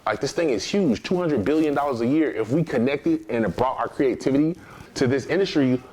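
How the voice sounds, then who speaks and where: A man's voice: mid-range voice, animated, brisk, darkish tone, rough recording. A man giving conversational interview answers as a small business owner.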